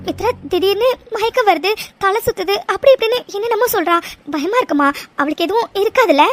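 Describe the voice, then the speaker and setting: Anxious daughter type, played by a woman: high-pitched, fretful, wordy, dry audio-drama delivery. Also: lively intonation, fairly quick, no echo, faint music behind. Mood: worried. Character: anxious young woman fretting to her mother. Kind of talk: serial-style audio drama acting.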